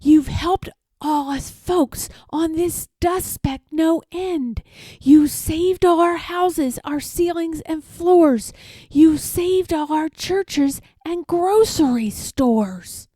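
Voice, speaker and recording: A woman's voice: very high voice, slow pace, dark tone, no room sound, voice pushed up. A woman voicing a tiny, friendly male mayor of minuscule people in a children's storybook, piping, polite and grateful. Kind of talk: children's storybook read-aloud.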